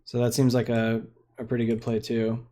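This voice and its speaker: Chill young guy narrating his card game, mellow voice, rambly with lots of ums. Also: mid-range voice, completely flat delivery, normal speed. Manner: laid-back, rambling, lots of fillers. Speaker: streamer playing a card game. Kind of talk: casual gameplay commentary.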